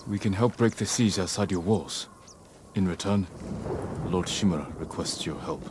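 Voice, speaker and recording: A man playing a stoic, honorable samurai lord in a video game, grave, measured and terse. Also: low-pitched, background noise, natural voice. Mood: firm, composed. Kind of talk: dramatic video game acting.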